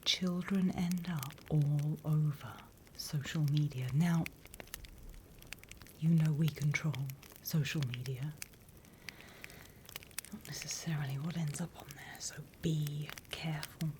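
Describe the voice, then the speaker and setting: A British woman voicing a sly, wryly menacing demon instructor in a hushed, conspiratorial ASMR roleplay whisper, close to the microphone. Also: deep voice, steady tone, slight background noise. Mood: cautioning, instructive. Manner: measured, hushed, dryly conspiratorial.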